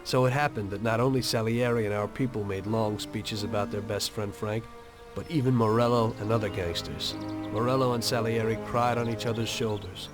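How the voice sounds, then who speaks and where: An actor playing a conscientious, streetwise American mobster in a video game, plain-spoken and wry, with a weary air. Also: male voice, fairly low pitch, steady tone, fairly quick, music in the background, close to an everyday voice. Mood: matter-of-fact. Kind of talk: dramatic video game dialogue and confessional narration.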